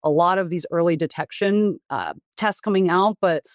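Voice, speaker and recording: A woman doctor who grew up in the US after being born in Taiwan, explaining frankly in a relaxed interview with many fillers and restarts. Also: fairly low pitch, normal speed, dry recording, clean studio sound. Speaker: doctor and guest. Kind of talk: conversational interview.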